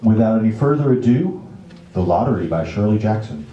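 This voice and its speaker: A man's voice: fairly low pitch, expressive, a little fast, dark tone, highs cut off. A man hosting a live staged reading, introducing it casually to an audience in a wry, theatrical way. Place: indoor library event room. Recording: echoey room recording.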